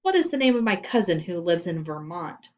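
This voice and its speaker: A woman voicing an irritable, menacing female schoolteacher with a demanding, sharp manner in a children's storybook. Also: on the low side, sing-song, fairly quick, dull audio. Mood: menacing quizzing. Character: irritable, menacing female schoolteacher who threatens her pupils. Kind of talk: children's storybook read aloud.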